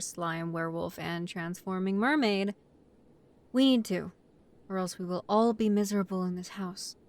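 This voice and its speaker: A woman's voice: lowish voice, darkish tone, lightly acted. A woman playing an exasperated, bossy young vampire college student in a scripted roleplay audio drama, talking in an organized, matter-of-fact way like someone chairing a meeting.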